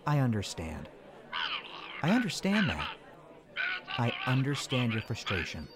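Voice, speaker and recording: A man's voice: medium pitch, huge pitch swings, measured pace, over a noisy crowd. A man playing a cocky, argumentative call-centre worker in an audio drama, with a self-righteous manner. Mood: polite, placating.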